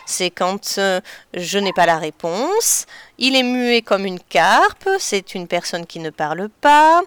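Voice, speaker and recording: A woman teacher patiently explaining to children in a clear, deliberate way. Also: medium pitch, a bit bright, no room sound. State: slightly stuffy from a cold. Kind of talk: children's lesson on figurative expressions.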